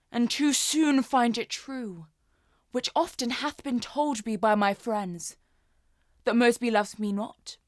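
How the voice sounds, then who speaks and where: A woman acts out a wronged lover from an Elizabethan tragedy, anguished and impassioned. Her voice is high and she draws out the verse slowly in a declamatory stage style. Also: dry recording, clean studio sound. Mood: hurt, bitter. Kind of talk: classical stage monologue.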